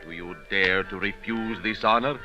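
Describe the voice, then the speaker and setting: A man's voice: fairly low pitch, steady tone, moderate pace, muffled recording, music in the background, close to an everyday voice. A man acting an imperious, menacing Moroccan sultan in a 1930s radio drama, speaking in formal, commanding declamation.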